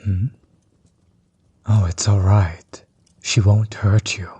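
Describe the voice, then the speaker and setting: A man playing a smug, bitter villain overlord in a roleplay audio drama, mocking and condescending, with a husky, breathy voice. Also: on the low side, steady tone, mellow, dry recording, exaggerated voice. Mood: amused, taunting. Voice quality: husky, breathy, often dropping toward a whisper. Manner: mocking, condescending, drawn-out theatrical delivery. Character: smug, bitter male villain overlord, grudge against old companion. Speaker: voice actor.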